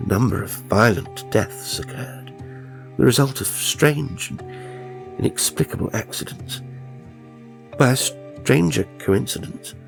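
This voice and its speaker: A man narrating a horror story in a measured, ominous, theatrical way, with a gravelly, husky voice. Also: mid-range voice. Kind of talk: dramatic scripted horror narration. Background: faint music.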